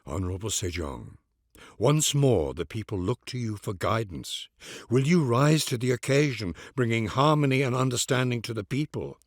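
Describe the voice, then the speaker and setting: A man's voice: mid-range voice, animated, normal speed, no room sound, studio quality. A man reading scripted grand historical narration for a video game in a solemn, formal, stately manner.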